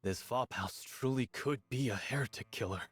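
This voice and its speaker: A man's voice: mid-range voice, moderate pace, fairly bright tone, slightly rough, no room sound. An actor voicing a cold, authoritative male overseer of sinister experiments in a video game cutscene, speaking in a clipped way. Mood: coldly commanding. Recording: game music behind the voice.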